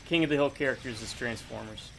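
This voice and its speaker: A man's voice: medium pitch, fast, creaky, fairly bright tone, slight background noise, low-quality recording. A man chatting casually while playing a co-op game, joking and thinking aloud. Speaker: co-op game player. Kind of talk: casual gameplay chat.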